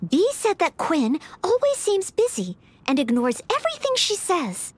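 Earnest, very high-voiced young maid knight. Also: female voice, wildly swooping pitch, moderate pace, full character voice. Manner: polite, hesitant, apologetic. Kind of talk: anime-style video game dialogue.

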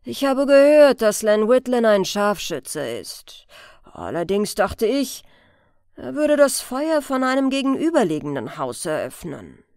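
Audiobook crime story: dry, sardonic chief inspector, deliberate and lively in pitch read by a woman, close dry sound. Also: no echo. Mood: smugly amused. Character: dry, sly male police chief inspector, quietly venomous.

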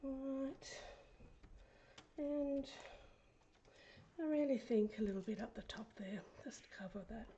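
A woman with a croaky, hoarse voice, chatting casually through a craft tutorial and often dropping into a whisper as she works. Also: on the high side, slow, long pauses, dark tone, highs cut off, slight background noise. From Australia. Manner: chatty, often drops to a whisper while working. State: hoarse, recovering from illness. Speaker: craft vlogger. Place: craft desk indoors, fan running.

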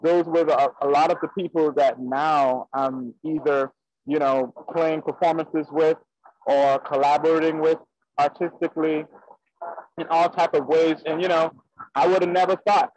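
A man's voice: on the high side, no echo. A young man from the Bahamas, a guest musician talking casually on a video call with many fillers. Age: young adult. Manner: relaxed, frequent fillers. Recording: dry, dull lo-fi call audio.

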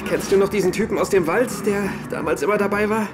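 Uneasy, evasive fugitive played by a man, higher male voice, audio drama, loud music underneath. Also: fast, lightly acted. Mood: hesitant.

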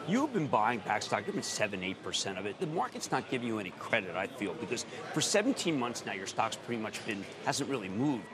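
Animated man pressing a question on TV. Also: lowish voice, a little fast, few pauses, a crowd in the background. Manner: emphatic, rising to animated questions. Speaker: TV market commentator. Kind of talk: live TV business interview. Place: TV studio on a stock exchange floor.

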